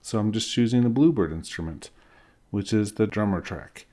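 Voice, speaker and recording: A middle-aged man with a fairly low voice teaches in an informal tutorial style. He explains each step plainly, as an instructor recording at a desk in a small room. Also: normal speed, warm tone. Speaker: music software instructor.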